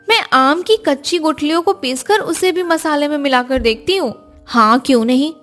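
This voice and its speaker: Casting: woman as earnest young housewife for family cartoon, very high voice, courteous delivery, music underneath. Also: a bit bright. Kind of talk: Hindi moral cartoon story acting.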